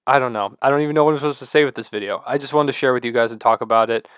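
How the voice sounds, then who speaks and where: A man with a stuffy, congested voice and low energy, rambling excitedly to the camera at home. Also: rapid-fire, clean tone, dry recording, clean studio sound. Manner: loose, rambling, excited fan talk with many fillers. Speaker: sports vlogger. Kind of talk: casual rambling talk to camera.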